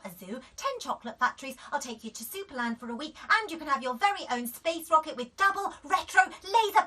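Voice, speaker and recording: A woman's voice: a little high, bright, forceful tone. A woman voicing an exasperated mum in a children's storybook, coaxing and bribing in gushing lists. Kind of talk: children's storybook character reading.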